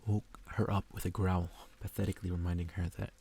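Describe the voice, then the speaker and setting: A man reading a story aloud in a soft ASMR style, hushed and intimate, often slipping into whispers. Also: sits low, level tone, quick pace, creaky, breathy edge. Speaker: ASMR reader. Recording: faint background noise.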